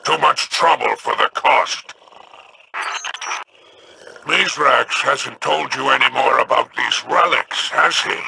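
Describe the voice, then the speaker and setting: Scheming gravelly crime boss, slow sardonic drawl, very bright tone, game dialogue. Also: male voice, mid-range voice, noisy background. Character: sly scheming male spider-like crime boss.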